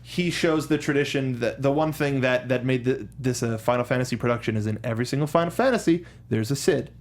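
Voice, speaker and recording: A male co-host talks through movies in a conversational style at a medium pitch. He is animated and slips into acted-out quotes as he makes his points. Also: a little fast. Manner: animated, acts out imagined quotes. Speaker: co-host of a movie discussion show.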